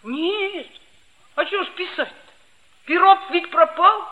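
An actress playing an earnest, easily hurt schoolboy of about thirteen in a 1960s Soviet radio play. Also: female voice, extremely high pitch, slow, bright, forceful tone, heavily acted. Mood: subdued. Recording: muffled, very noisy old radio recording.

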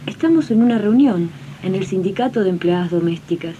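A spirited Argentine domestic worker played by a woman in an eighties radio play. She chats companionably in a mellow, medium-pitched voice on a dull old archive recording with faint noise. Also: expressive, a little fast, lightly acted. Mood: friendly. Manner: colloquial, companionable, addresses listeners. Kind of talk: 1980s community radio drama acting.

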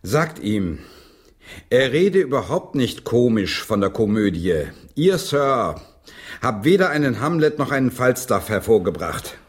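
An actor in a German radio play voicing a proud, indignant English playwright, declamatory and sardonic. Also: male voice, medium pitch, big pitch swings, unhurried, slightly rough. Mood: scornful.

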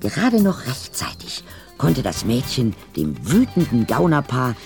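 A man's voice: medium pitch, wildly swooping pitch, measured pace, vocal fry, darkish tone, soft background music. A man narrating a scripted radio play in a dramatic storytelling style.

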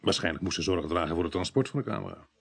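A businesslike male detective in a Dutch radio play. His voice sits on the low side. He reports in a matter-of-fact, colloquial way, with small hesitations. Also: animated, racing, gravelly, husky, full character voice. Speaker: police investigator. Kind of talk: Dutch radio drama acting.

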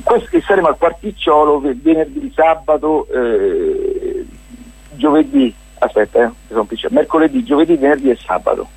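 A man with a Roman accent chatting informally as a guest on a radio show, halting, rambling and joking at his own expense. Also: a little high, huge pitch swings, creaky, darkish tone, husky, no room sound, poor audio. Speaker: guest presenting a cultural festival. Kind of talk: informal radio interview, unscripted chat.

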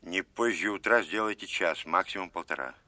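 A man's voice: sits low, moderate pace, sharp tone, dry recording. A man acting a stern, commanding Soviet colonel general in a Russian audio drama, giving clipped, emphatic orders with authority. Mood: brisk, businesslike.

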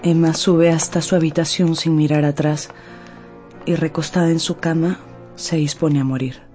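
A woman's voice: deep voice, fairly even intonation, moderate pace, warm tone, soft background music. A woman narrator reading a scripted radio serial in a solemn, suspenseful, dramatic way.

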